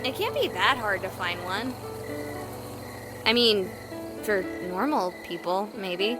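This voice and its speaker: A woman's voice: medium pitch, animated, slow, music in the background. A woman playing a playful, self-doubting young woman in a visual novel game, hesitant and lightly teasing. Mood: thoughtful, teasing.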